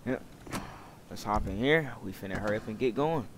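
Slangy American man over loud game noise. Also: fairly low pitch, lively intonation, fairly quick. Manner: slangy, rambling, repeats himself. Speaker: gaming video creator. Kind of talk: casual in-character commentary over roleplay gameplay. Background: video game vehicle and city ambience.

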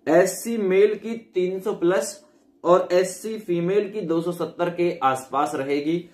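Young man, high voice, emphatic conversational explainer, roomy echo. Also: takes it slowly, a little mellow. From India. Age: 20s. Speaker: exam coaching teacher and presenter. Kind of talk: informal explainer to camera for job aspirants. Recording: echoey room sound.

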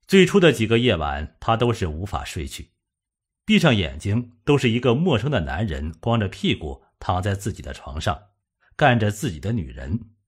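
Husky low-voiced male audiobook narrator. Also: very animated, normal speed. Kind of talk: scripted suspense novel narration.

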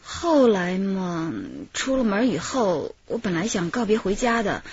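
A woman's voice: a little high, breathy edge. An actress playing a chatty, flirtatious and defensive dance-hall woman in a radio drama, a coy way of talking. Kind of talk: radio drama dialogue and recounting. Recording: slightly muffled, music underneath.

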